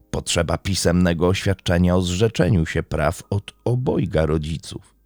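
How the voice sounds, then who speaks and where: Man, dark mellow tone, very dry close sound, serious measured true-crime storytelling. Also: deep voice, creaky, no room sound. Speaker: true crime podcast narrator. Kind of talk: scripted documentary narration.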